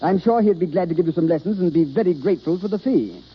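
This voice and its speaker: A man's voice: high voice, lively intonation, fast, husky. An actor playing a vain, pompous leading man of the theater in grandiose old radio drama style. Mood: condescending. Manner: grandiose, self-important. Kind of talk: 1930s American radio acting. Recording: muffled old radio broadcast.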